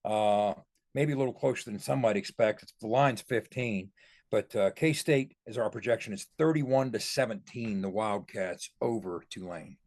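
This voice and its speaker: A man's voice: lowish voice, normal speed, a little mellow, dry recording, clean studio sound. A man giving casual football analysis as a podcast co-host, in a folksy, explanatory way.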